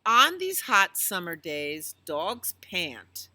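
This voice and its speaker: A woman reads a picture book for young children at an unhurried pace. Her voice is somewhat low for a woman, yet bright and clear-cut in tone. She is expressive and chatty, addressing the listeners directly. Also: no echo. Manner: animated, exclamatory, asks listeners questions. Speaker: children's storytime reader at a library.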